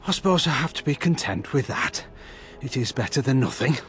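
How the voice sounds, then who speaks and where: An actor playing an anxious merchant envoy in a video game, fretful, earnest and deferential. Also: male voice, mid-range voice, lively intonation, brisk, a bit bright, soft background music, natural voice. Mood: worried, urgent. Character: anxious male merchant guild envoy pleading for help.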